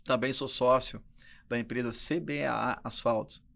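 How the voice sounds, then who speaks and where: A man's voice: mid-range voice, steady tone, unhurried, creaky, fairly bright tone. A Brazilian man in his early forties talking casually as a guest entrepreneur on a podcast. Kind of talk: casual conversational interview talk.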